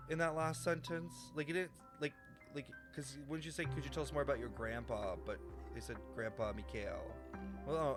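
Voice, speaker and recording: A woman game streamer giving casual live commentary in a rambling, exclamatory, sweary way. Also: low-pitched. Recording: game music behind the voice.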